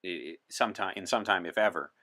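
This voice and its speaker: A man's voice: low-pitched, fairly even intonation, quick pace, sharp tone. A man speaking as an interview guest in an articulate, explanatory and wry way. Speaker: podcast guest. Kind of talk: conversational interview talk in character as a visitor from 1964.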